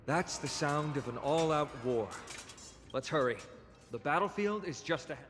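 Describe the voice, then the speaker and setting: A man's voice: a little high, animated, normal speed, fairly bright tone. A man playing an earnest, urgent young hero in a video game. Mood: urgent concern. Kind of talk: video game character acting. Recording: reverberant, faint music behind.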